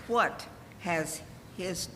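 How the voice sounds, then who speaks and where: An actor playing a callous, gossiping merchant with a drawling, theatrical delivery in a 1940s radio drama. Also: male voice, high voice, drawn-out words, pushed and bright, exaggerated voice. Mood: callous, indifferent. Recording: echoey hall, faint background noise.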